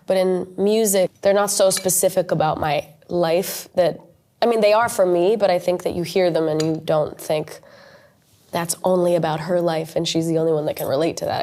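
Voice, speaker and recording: A woman singer being interviewed, talking in a relaxed, wordy way about her music. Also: on the low side. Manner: relaxed, wordy, often laughs. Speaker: singer guest. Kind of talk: casual interview chat.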